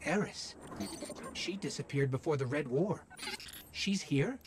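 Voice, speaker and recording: An actor voicing a small, eager, inquisitive robot companion in a video game, earnest and alert. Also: male voice, a little high, animated, measured pace, background noise. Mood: alert, concerned.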